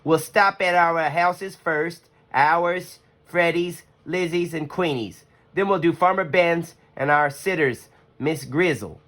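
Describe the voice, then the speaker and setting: A narrator voicing an excitable, confident young boy bear in a children's storybook, boyish and emphatic. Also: mid-range voice, takes it slowly, few pauses, voice pushed up. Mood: planning.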